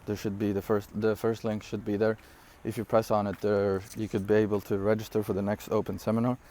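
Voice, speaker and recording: A young man from Syria with a low-pitched voice, for whom English is not his first language, delivers an energetic, rambling business pitch. He fills it with quick asides, fillers, and frequent laughs. A faint ambient noise sits behind him. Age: 20s. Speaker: online business coach. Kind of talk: casual direct-to-camera talk.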